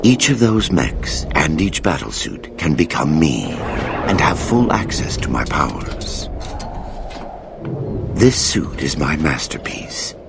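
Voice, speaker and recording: An actor playing a menacing machine villain in a video game cutscene, speaking in grandiose, coldly superior declarations. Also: male voice, extremely low pitch, very animated, slow pace, gravelly, very noisy, exaggerated voice. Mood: smug, grandiose. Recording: loud music over the voice.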